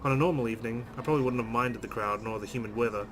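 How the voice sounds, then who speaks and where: A man's voice: medium pitch, very fast, background noise. A man narrating a visual novel aloud as a let's player, reading it dramatically with brief asides.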